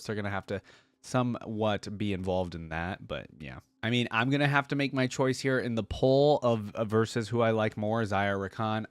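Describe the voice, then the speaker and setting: Low-voiced man, casual reaction host. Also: clean tone, dry recording. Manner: conversational, rambling. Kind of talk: casual commentary to viewers.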